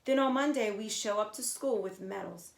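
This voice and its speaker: A woman voicing boastful, excitable schoolchildren in a storybook, eager and emphatic, in an echoey room. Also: medium pitch, moderate pace, creaky, natural voice. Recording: echoey, roomy sound.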